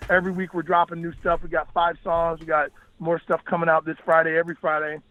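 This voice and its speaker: A man's voice: on the high side, completely flat delivery, fast talker, no echo, phone-quality sound. A man appearing as a guest rapper and podcaster, moving between freestyle rap and casual promotional talk.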